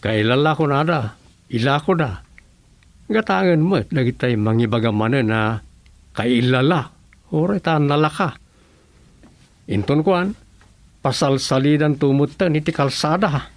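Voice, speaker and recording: A man's voice: a little high, a little slow, long pauses, no echo. A man playing a worried searcher in a radio drama, anxious and plain-spoken. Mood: pondering.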